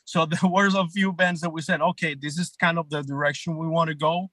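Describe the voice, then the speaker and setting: A man's voice: fairly high pitch, steady tone, fairly quick, pushed and bright, dry recording. A man, chatting loosely as a band guest and checking in with "right?" as he goes. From Colombia. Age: 30s. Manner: rambling, many restarts and "right?" checks. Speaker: band guitarist and songwriter guest. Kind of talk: casual interview chat.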